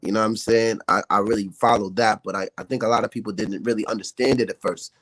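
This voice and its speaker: A man's voice: on the low side, fairly even intonation, fast talker, breathy edge, dry recording. An American man, a rapper, talking casually as a podcast guest with slangy speech and frequent check-in tags. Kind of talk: casual interview chat.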